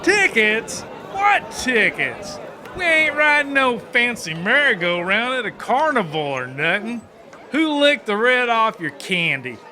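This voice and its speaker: Crude loud-mouth cowboy, high bright voice, swoops all over, slow delivery, folksy insults. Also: male voice, very animated, some chatter behind, exaggerated voice. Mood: indignant. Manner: folksy drawling insults and colorful country sayings. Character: brash, crude, cackling country cowboy killer, blind. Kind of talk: audiobook character acting.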